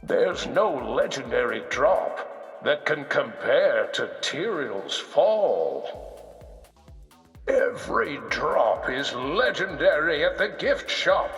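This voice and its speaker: An actor playing a kindly old scholar in a video game, making slow, solemn, wry announcements with a creaky, weathered voice. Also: male voice, on the high side, big pitch swings, a bit bright, exaggerated voice. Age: older. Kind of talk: scripted game announcer voice lines. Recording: echoing hall reverb, music behind.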